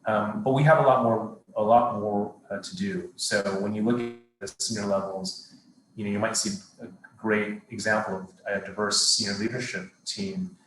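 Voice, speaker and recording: A man's voice: fairly low pitch, a bit bright, a little hiss or hum. A middle-aged man, a TV studio executive, answering interview questions thoughtfully, with many fillers and restarts. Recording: roomy echo.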